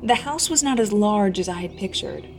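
Woman, horror story narrator, mid-range voice, measured, expressive, first-person fiction. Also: mid-range voice, slight background noise.

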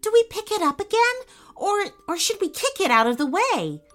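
A woman voicing an eager young girl who talks excitedly in a high, breathless voice. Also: normal speed, faint music behind, full character voice.

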